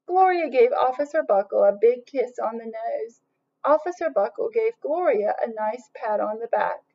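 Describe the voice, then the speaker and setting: A woman reads a picture book aloud to children in a fairly high voice. She is expressive and breaks off for little questions and asides. The recording is slightly dull and lo-fi. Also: vocal fry. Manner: expressive, pauses to question young listeners. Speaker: read-aloud storyteller.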